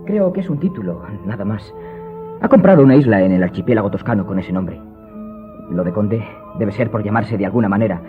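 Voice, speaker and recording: A man's voice: on the low side, expressive, fairly quick, lightly acted. A man playing an earnest young nobleman, a polite and trusting son, in a radio drama. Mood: musing, admiring. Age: young adult. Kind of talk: Spanish radio drama acting. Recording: muffled phone-like audio with music.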